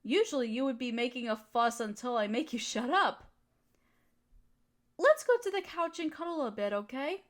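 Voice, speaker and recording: A woman playing an affectionate, teasing, gently dominant partner who soothes and flirts in an intimate roleplay audio. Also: fairly high pitch, animated, moderate pace, close to an everyday voice. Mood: warm, attentive.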